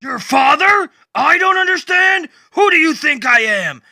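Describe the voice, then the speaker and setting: A man voicing an enraged masked vigilante who yells in a high, strained voice. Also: big pitch swings, unhurried, no echo, clean studio sound, heavily acted. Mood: incredulous. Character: furious masked vigilante, shouting.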